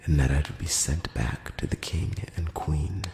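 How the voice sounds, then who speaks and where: Man as an ancient elf emissary, very deep, hushed. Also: fairly even intonation, echoey room, big-room sound, noisy background. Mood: wry, guarded. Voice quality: very low, soft, often slipping into whisper. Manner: slow, hushed, measured, with formal courteous phrasing. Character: ancient, courtly, slyly condescending male elf emissary. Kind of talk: close-up whispered ASMR roleplay monologue. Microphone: close-up microphone.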